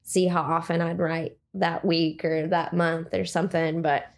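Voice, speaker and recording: Young woman, quiet low voice, soft and hesitant. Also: little pitch movement, measured pace, gravelly. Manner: soft-spoken, hesitant, many fillers. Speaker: guest. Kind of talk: casual conversation.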